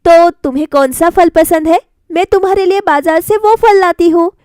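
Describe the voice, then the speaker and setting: Woman voicing an earnest high-pitched young wife. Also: normal speed, a little mellow, no room sound, clean studio sound. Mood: eager, coaxing. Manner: pleading, questioning, sweetly insistent. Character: earnest, coaxing young daughter-in-law in a storybook.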